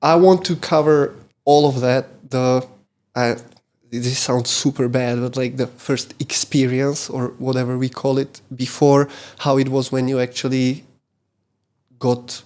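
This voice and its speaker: A man hosting a podcast interview, who often pauses and restarts with fillers as he frames his questions. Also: mid-range voice, little pitch movement, darkish tone. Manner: halting, many fillers and restarts while framing questions. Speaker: podcast host.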